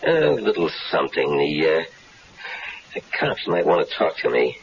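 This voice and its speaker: An actor playing a desperate, nervous man mistaken for a crook, in a 1970s radio drama, talking in halting bursts. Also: extremely low pitch, big pitch swings, gravelly, exaggerated voice. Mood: worried. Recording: dull hissy old radio broadcast.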